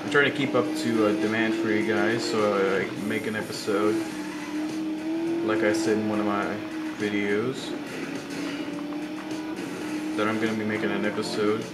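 A man's voice: fairly low pitch, level tone, moderate pace, pauses often. A young man with a slight cold and sore throat, rambling through casual gameplay commentary with many fillers and restarts. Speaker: gaming channel host. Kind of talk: casual let's-play commentary. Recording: roomy sound over loud game music. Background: loud game music and engine sounds.

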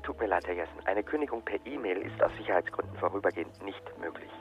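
A smooth-tongued hotline agent in a radio play, talking quickly in a lowish voice. He is unfailingly courteous, evasive and insistent, full of stock service phrases. The sound is a little dull. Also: lively intonation, soft background music. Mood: earnest, officious. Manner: unctuous, formulaic sales patter, insistent. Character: relentlessly polite, evasive male call-centre hotline agent. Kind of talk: radio drama acting, scripted phone dialogue.

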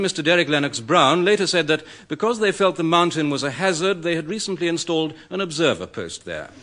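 An actor playing a composed British radio newsreader, giving a formal, deadpan scripted bulletin. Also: male voice, on the high side. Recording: faintly noisy old radio recording.

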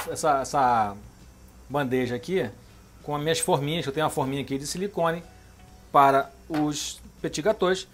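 A middle-aged man hosting a home cooking show, chatting casually as he demonstrates a recipe in his kitchen and checking in with the viewer. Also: on the high side. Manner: chatty, keeps asking "beleza?" and "tá?".

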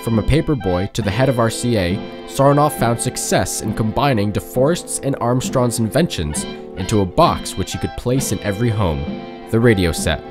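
A man's voice: lowish voice, animated, moderate pace, over music. A young adult man presenting a documentary in scripted narration.

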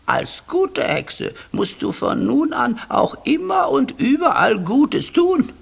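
A bossy raven from a children's radio play. The voice is high and extremely creaky, rasping through every scolding lecture with a husky edge. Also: wildly swooping pitch, slow pace, exaggerated voice. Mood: stern, lecturing. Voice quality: croaky, rasping. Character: scolding, bossy talking raven, the witch's companion. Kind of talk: German children's audio play acting.

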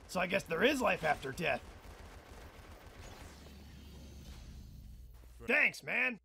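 A man playing a cocky, gleefully violent mercenary in a video game, shouting combat barks. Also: high-pitched, big pitch swings, moderate pace, creaky, slight background noise, heavily acted. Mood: cocky, amused. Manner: loud, shouting taunts and pained cries. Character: cocky, manic male mercenary shooter character, gleefully violent. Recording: game audio with music and gunfire behind. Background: game music, gunshots.

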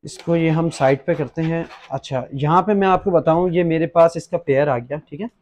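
A young man with a Pakistani accent presents products for a gadget shop. His voice sits a little higher than average for a man. He explains each feature as he goes, dropping English words into his speech. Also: moderate pace, darkish tone. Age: young adult. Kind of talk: unboxing and product demonstration. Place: small indoor gadget shop studio at a desk.

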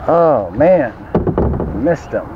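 A man's voice: fairly high pitch, animated. A man fishing from a kayak on an outdoor lake, casually commenting to himself and a friend, picked up by an action camera. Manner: talks to himself and his buddy while fishing, quick exclamations. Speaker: fishing vlogger. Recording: dull action-cam audio with water and wind noise.

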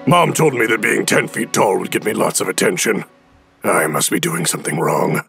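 A man's voice: low-pitched, moderate pace, creaky, fairly bright tone, soft background music, heavily acted. A man voicing a boastful, sadistic ten-foot masked brute in an anime parody, with a bombastic, theatrical villain's delivery. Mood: sulky.

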